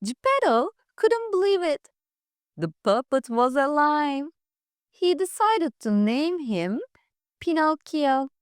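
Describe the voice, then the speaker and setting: A woman reading a scripted children's fairytale aloud for English learners, with careful, deliberate phrasing. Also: high voice, no echo, studio quality. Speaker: storyteller.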